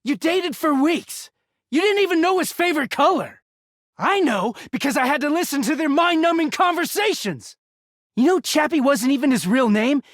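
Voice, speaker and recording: A man's voice: very high-pitched, animated, moderate pace, no room sound, studio quality. A man voicing a bitter, exasperated, sardonic, foul-mouthed young male living-laundry character in a video game. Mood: aggrieved, bitter. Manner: sardonic, foul-mouthed, swinging from muttering to shouting.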